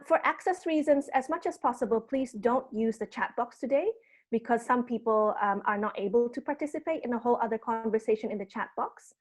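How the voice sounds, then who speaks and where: A woman in her early thirties, a native Indonesian speaker, hosts an online panel with a fairly high voice. She moves through housekeeping notes in a measured, orderly way, slowing down for clarity. The sound comes from a video call mic. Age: early 30s. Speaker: host and program producer. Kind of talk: hosting a webinar, reading housekeeping notes.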